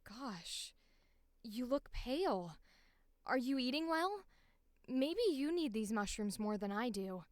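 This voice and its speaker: A woman voicing a polite but curt teenage farm girl in measured, enunciated audition lines for a game. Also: on the high side, very animated, no room sound. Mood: gently concerned. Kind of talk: video game audition line reading.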